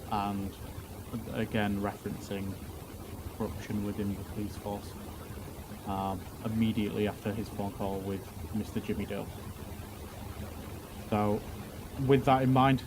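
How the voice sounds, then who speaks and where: A man playing a police officer giving testimony in a roleplay courtroom, talking haltingly with many fillers and repeated words. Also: fairly low pitch, background noise.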